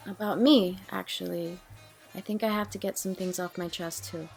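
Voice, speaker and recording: A woman's voice: fairly low pitch, steady tone, moderate pace, creaky, soft background music. A woman playing a guarded, troubled young woman in an audio drama, speaking in a hesitant, plain way. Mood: guarded, confessional. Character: guarded, troubled young woman named Nicole, awkward and wary. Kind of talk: audio drama dialogue, naturalistic amateur acting.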